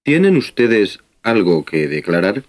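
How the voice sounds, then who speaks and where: A man's voice: fairly low pitch, sing-song, measured pace. A man playing a polite customs officer who asks formal questions in a scripted language-lesson dialogue.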